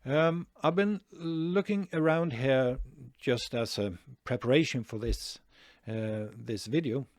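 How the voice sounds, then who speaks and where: A man's voice: mid-range voice, slow pace, a little mellow, no echo, clean studio sound. A Norwegian man talking through a screen-share tutorial, hesitant, with many fillers and restarts. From Norway. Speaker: online marketing tutor.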